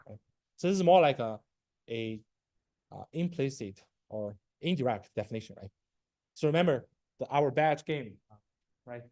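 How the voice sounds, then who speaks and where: A man in his forties, a native Chinese speaker, giving a university machine learning lecture in a halting way, full of fillers and a constant "right?", often clearing his throat. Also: medium pitch, warm tone, no room sound. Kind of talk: classroom lecture.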